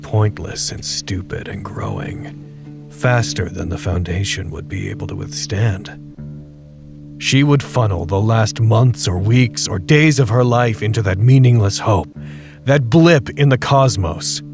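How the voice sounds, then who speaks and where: A man narrating a literary drama podcast as a solemn, reflective storyteller, speaking in a deliberate way. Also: fairly low pitch, gravelly. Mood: quietly hopeful. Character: solemn, reflective male storyteller of a bleak tale. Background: music with guitar.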